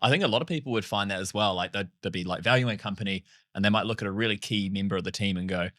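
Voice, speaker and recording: A man's voice: lowish voice, very fast, fairly bright tone, no room sound, clean studio sound. A man hosting a podcast interview, asking loose questions full of fillers and self-corrections. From New Zealand. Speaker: podcast host. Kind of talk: casual interview chat.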